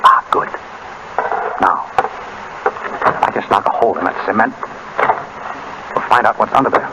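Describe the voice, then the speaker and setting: A man plays a dry, unflappable gentleman sleuth in a vintage radio mystery. His voice is low and casual, heard through very heavy recording noise. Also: measured pace, lightly acted. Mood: urgent, intent. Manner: casual, wry. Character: unflappable, dry, suave male amateur sleuth. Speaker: radio actor. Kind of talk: 1930s radio acting. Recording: very noisy 1930s radio recording.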